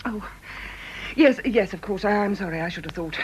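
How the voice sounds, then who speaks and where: Actress as anxious wife, lively pitch. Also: female voice, normal speed, slight background noise, natural voice, rough recording. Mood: distraught. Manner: fretful, pleading, breathlessly anxious. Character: frightened, anxious wife and young mother. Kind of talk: radio drama acting.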